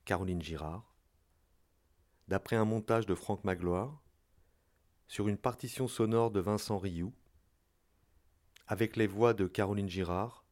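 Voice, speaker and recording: A man's voice: low voice, a little mellow. A man giving a scripted announcement of credits as an announcer.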